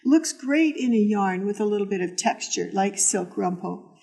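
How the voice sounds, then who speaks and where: A woman's voice: medium pitch, expressive, normal speed, gravelly, dark tone. A woman co-hosting a yarn shop podcast, chatting with enthusiasm about knitting and asking her co-host lots of questions. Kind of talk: casual chat about knitting.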